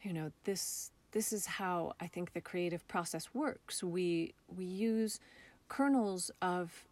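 An American woman with a slightly low voice talks as an interview guest. Her style is casual and reflective. She phrases things thoughtfully, often halting as she finds her words. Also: darkish tone, no room sound. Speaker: interview guest, theater artist.

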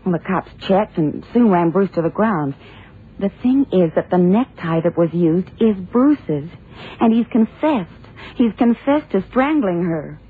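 An actress playing a detective's worried, loyal secretary in a radio drama, earnest and hesitant with a husky voice. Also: female voice, fairly low pitch, expressive, unhurried, a little hiss or hum. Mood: worried, defensive. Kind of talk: 1960s-70s radio drama acting. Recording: tinny phone-like vintage radio drama.

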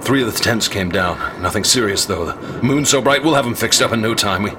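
An actor playing a pragmatic geology professor in a radio drama, matter-of-fact and slightly halting. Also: male voice, lowish voice, expressive, background noise, close to an everyday voice. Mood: practical, concerned. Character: pragmatic, level-headed male geology professor.

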